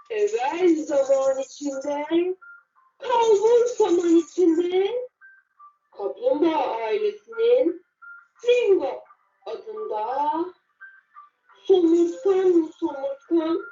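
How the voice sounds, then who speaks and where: A woman reading a children's fairy tale in a deliberate, drawn-out, expressive way. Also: extremely high pitch. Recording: muffled, roomy, far from the mic.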